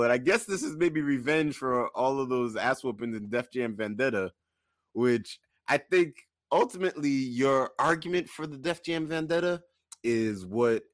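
A man co-hosting a podcast in casual discussion, making his points deliberately and repeating them for emphasis. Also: mid-range voice, a bit bright, no echo, studio quality. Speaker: podcast co-host.

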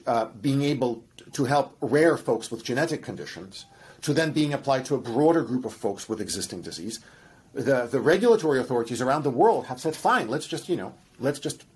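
A middle-aged man with a Russian accent talks through a scientific topic as a podcast guest, lively and full of examples and asides. His voice has a husky, slightly grainy texture at an ordinary pitch. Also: a little fast. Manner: animated, explains with examples and asides. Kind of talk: explanatory podcast conversation.

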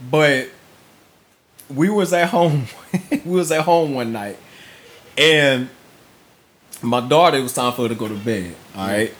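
Lively man in his thirties telling stories. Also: mid-range voice, a little slow, long pauses. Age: 30s. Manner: animated, laughs often while telling stories. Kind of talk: casual storytelling chat.

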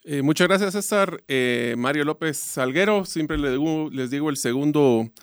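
Smooth-voiced Guatemalan man on radio. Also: fairly high pitch, moderate pace, no room sound, clean studio sound. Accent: Guatemalan Spanish. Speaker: radio co-host, executive director. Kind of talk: casual self-introduction on radio. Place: radio booth.

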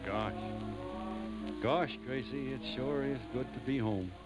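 An actor in an old radio drama playing a humble young backwoods farmer turned soldier, with a halting, folksy rural Tennessee drawl. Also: male voice, mid-range voice, over loud music, close to an everyday voice. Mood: bashful, hesitant. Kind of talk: 1940s radio drama acting.

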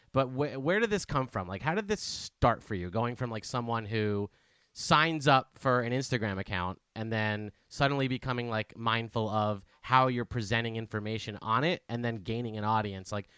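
A middle-aged man hosting a podcast, asking halting, rambling questions full of likes and restarts. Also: mid-range voice, a bit bright, clear, resonant tone, no echo. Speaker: podcast host. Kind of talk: casual interview talk.

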